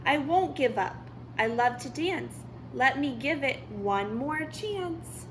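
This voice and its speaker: An eager young boy cat from a picture book, voiced by a woman. He speaks in rhyme with earnest bounce, high and unhurried, over faint noise. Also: lively intonation. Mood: determined, hopeful. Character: eager, hopeful young male cartoon cat who loves dancing.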